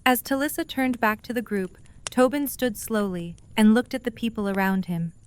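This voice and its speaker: A woman's voice: on the high side, moderate pace, clean tone. A woman reading scripted story narration as the narrator of an audio drama.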